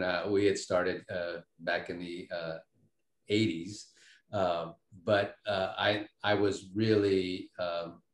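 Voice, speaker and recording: A middle-aged or older man reflecting in a post-show discussion as a director, speaking in a measured, halting way. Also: deep voice, echoey room.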